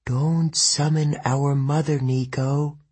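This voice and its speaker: A man voicing a solemn ghost girl warning her brother, in a soft, raised, husky voice. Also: medium pitch, slow pace, dry recording, voice pushed up. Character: Bianca, solemn ghost girl, protective older sister.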